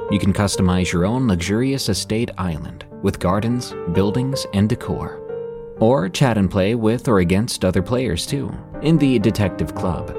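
A man hosting a podcast, reading a scripted sponsor ad with dramatic flair. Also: lowish voice. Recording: loud guitar music behind voice. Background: guitar music.

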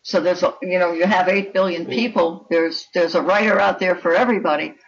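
A woman's voice: fairly low pitch, steady tone, a little fast. Older woman, dull lo-fi sound. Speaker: guest author. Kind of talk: conversational interview talk on writing. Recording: dull, lo-fi connection.

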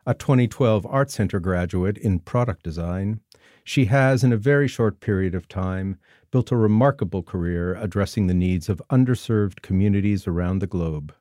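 A man hosting an interview podcast, reading a measured introduction and asking thoughtful questions. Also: sits low, warm tone, no echo, studio quality. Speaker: podcast host.

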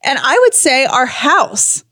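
A woman's voice: high-pitched, big pitch swings, slow, bright, forceful tone, dry recording, studio quality. A woman co-hosting a podcast in a casual, chatty style. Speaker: podcast co-host.

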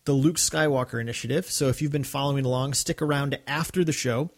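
A man's voice: mid-range voice, fairly even intonation, fast. Male co-host, earnest and chatty, medium voice, faint music bed. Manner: earnest, warm thanks, many fillers. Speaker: podcast co-host. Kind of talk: casual podcast chat.

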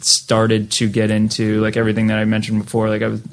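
A man's voice: on the low side, fast talker. A man in his thirties, a record label co-founder, chatting loosely in an interview with lots of fillers. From Western Massachusetts, US. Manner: relaxed, rambling, many "like" fillers. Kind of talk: casual interview chat.

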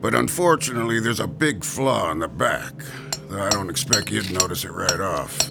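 A man's voice: low voice, lively intonation, moderate pace, gravelly, background noise. An actor playing a jovial, heavyset male gravestone carver in a radio drama, folksy and darkly joking. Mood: jovial, teasing.

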